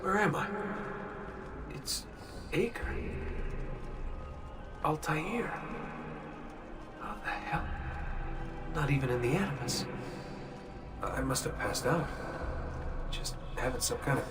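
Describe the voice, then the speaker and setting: A man's voice: fairly high pitch, expressive, slow, pauses often. Wry young man, distant, over music. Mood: bewildered. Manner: sardonic, informal, conversational. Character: wry, casual young man, reluctant assassin trainee. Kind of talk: naturalistic video game cutscene acting.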